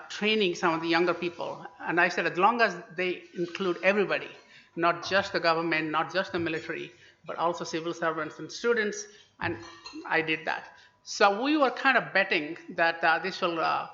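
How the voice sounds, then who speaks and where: A man's voice: on the high side, pushed and bright, slight background noise. A man, an international relations professor giving a book talk, telling anecdotes with frequent "uh" fillers. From India. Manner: hesitant with many "uh" fillers, anecdotal. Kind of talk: lecture-style book talk. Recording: dull lo-fi recording.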